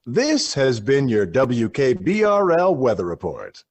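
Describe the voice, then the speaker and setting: A man's voice: mid-range voice, huge pitch swings, unhurried, a little hiss or hum. An actor playing an eccentric, brooding male radio host, delivering theatrical scripted monologues in a portentous, philosophical manner. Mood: offhand.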